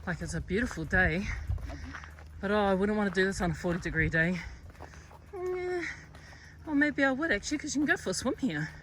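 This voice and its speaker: A woman's voice: mid-range voice, animated, a little fast, a bit bright, a little hiss or hum, low-quality recording. A young woman vlogging on a hiking trail, chatty and out of breath, chesty from a cold, filming on her phone. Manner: out of breath, chatty, sighing while climbing. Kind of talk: casual hiking vlog. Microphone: phone held while walking.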